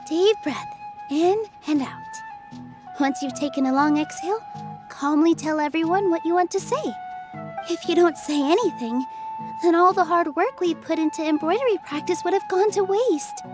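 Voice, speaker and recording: An actress voicing a gentle, kind young female dancer who speaks in a soft, encouraging, earnest way. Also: very high-pitched, normal speed, music in the background. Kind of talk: video game dub acting.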